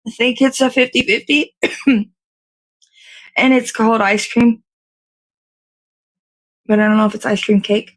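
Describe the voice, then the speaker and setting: A woman's voice: a little high, fairly even intonation, unhurried, long pauses, low-quality recording. A woman, hosting a casual, rambling livestream in a playful, slangy, easygoing way. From American South. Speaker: host of a morning livestream show.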